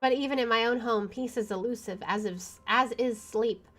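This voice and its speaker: A woman's voice: fairly high pitch, moderate pace, fairly bright tone. A woman streamer reading visual novel narration aloud, expressively, with casual chatty asides. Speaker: video game streamer.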